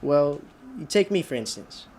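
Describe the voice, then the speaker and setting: A man's voice: on the high side, animated, moderate pace, darkish tone, lightly acted. An actor playing a haunted, desperate young male driver in a radio drama, with an intense delivery that stammers under agitation. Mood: musing, uneasy. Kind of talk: radio drama acting with first-person narration. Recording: clearly noisy radio play recording.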